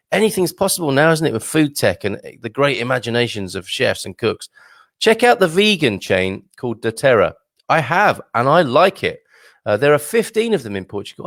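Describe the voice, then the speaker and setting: A man hosts a relaxed news show and reads viewer comments aloud, stopping for wry, chatty asides. His voice is mid-pitched with a gravelly edge. The sound is close and dry. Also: no echo. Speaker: host.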